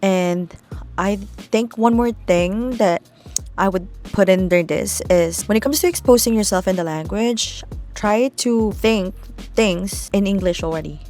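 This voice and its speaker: A woman's voice: mid-range voice, a little slow, darkish tone, soft background music. A young woman from the Philippines with a Filipino accent, a native Tagalog speaker, chatting candidly to camera as a vlogger. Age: young adult. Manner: chatty, candid, sprinkled with likes and asides. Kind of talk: casual talk to camera.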